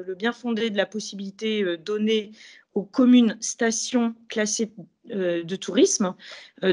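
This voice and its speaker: A woman speaking as an association representative in an interview, explaining policy formally and haltingly as she searches for precise wording. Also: medium pitch, a little mellow.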